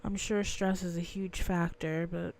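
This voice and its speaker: A woman's voice: fairly low pitch, flat delivery, a little slow, a little mellow, a little hiss or hum. A young woman chatting casually with her viewers on a livestream, her voice nasal and stuffy from crying. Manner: chatty, answers viewers' messages. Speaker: livestream host.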